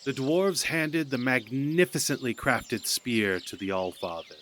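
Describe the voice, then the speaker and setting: A man's voice: mid-range voice, a little slow, noisy background. A man narrating a myth as a scripted storyteller in an audio drama.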